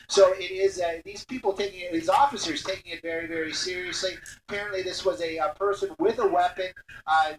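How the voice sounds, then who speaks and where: Male helicopter news reporter, high-pitched, halting delivery with repeats, roomy airborne link with faint noise. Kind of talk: live breaking-news commentary. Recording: roomy, distant airborne radio link, noisy.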